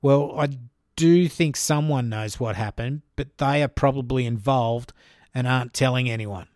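A man's voice: medium pitch, normal speed, darkish tone, no echo, studio quality. A man with an Australian accent hosting his own podcast, answering listener questions in a chatty, informal way. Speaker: podcast host.

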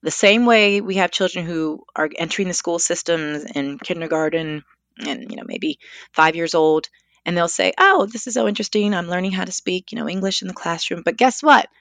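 Give me an animated, thoughtful woman on a podcast, slightly low-pitched, recorded dry and close. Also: normal speed, no room sound. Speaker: speech language pathologist. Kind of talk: conversational podcast discussion.

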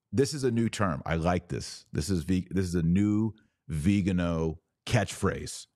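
Low-voiced man, emphatic podcast commentator. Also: a little mellow, dry recording, clean studio sound. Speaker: podcast host. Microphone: close studio microphone.

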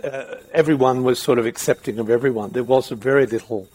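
A man's voice: mid-range voice, fairly quick, darkish tone, dry recording. A middle-aged Australian man interviewing in a conversational, reflective way, circling around his questions.

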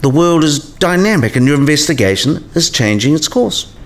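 An actor playing an eccentric, kindly old philosophy professor in a radio play, musing and didactic. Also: male voice, a little high, lively intonation, moderate pace. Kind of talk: scripted radio play dialogue.

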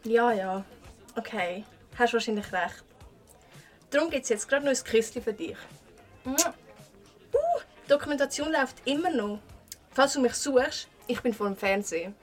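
A woman's voice: a little high, moderate pace, long pauses, faint music behind, lightly acted. A woman playing a playful, affectionate young party girl in a contemporary radio play.

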